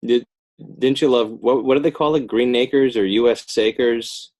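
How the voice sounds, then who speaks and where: A man's voice: medium pitch, fairly even intonation, a little slow, a little mellow. An American man talking casually as an interview guest, rambling and joking in a self-deprecating way. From northern New Jersey, United States. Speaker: podcast guest, author and illustrator. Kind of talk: casual conversational interview chat.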